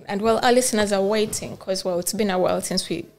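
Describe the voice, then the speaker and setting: A woman's voice: medium pitch, fast talker. A woman hosting a podcast interview, chatting in an upbeat, encouraging way. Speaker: podcast host. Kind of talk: casual interview chat.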